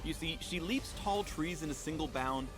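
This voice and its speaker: A man's voice: a little high, moderate pace. A man narrating a scripted gameplay spotlight with a playful, punning presenter delivery. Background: music.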